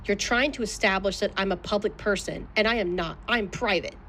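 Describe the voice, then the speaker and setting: A woman's voice: mid-range voice, a little fast, fairly bright tone, a little hiss or hum. A woman answering questions in a legal deposition in a guarded, clipped manner. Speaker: plaintiff being deposed.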